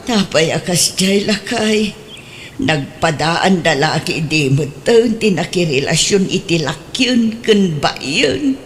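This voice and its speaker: A scolding Ilocano mother in a radio play, unhurried and deliberate, with short outbursts. There is a faint hiss behind her voice. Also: lowish voice, expressive. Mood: complaining. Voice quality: exclaiming, scolding. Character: scolding middle-aged mother with grown children. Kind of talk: radio drama acting.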